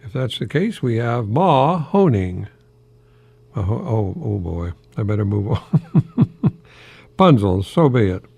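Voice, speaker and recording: An older American man hosting a folksy solo radio talk in a halting, rambling, easily amused manner. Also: lowish voice, sing-song, vocal fry, warm tone, husky, no room sound, muffled recording. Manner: chuckles often at his own remarks, halting and rambling. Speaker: radio host, longtime English professor.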